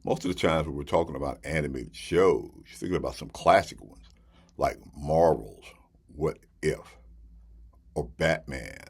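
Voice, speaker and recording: A man with an extremely low, husky voice hosts an off-the-cuff review. His delivery is conversational, with big swings in intonation and repeated phrases to drive points home. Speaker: host reviewing shows and collectible box sets. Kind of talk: casual unscripted review to camera.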